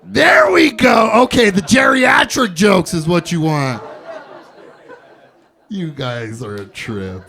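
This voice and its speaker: A man hosting a stand-up comedy show, warming up the crowd with loud, playful banter from the stage. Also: high voice, lively intonation, takes it slowly, gravelly. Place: comedy club stage before a live audience.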